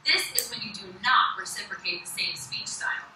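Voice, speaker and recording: A young woman narrates a student-made video in a bright, high voice. The sound is video playback in a lecture hall, distant and very echoey. A faint hiss sits underneath. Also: fairly quick. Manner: chatty, valley-girl slang in skits. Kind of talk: scripted narration and skit chat.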